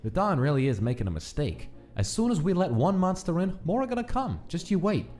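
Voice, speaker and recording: A bitter, arrogant piano man from a gangster story, acted by a man. His voice sits fairly high for a man and swoops with scorn as he rants. Music plays under him. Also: sing-song, a little fast, a little mellow. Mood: sneering. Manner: contemptuous, ranting. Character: bigoted, cocky piano man in a gangster-era bar, bitter and sneering. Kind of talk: comic dub voice acting.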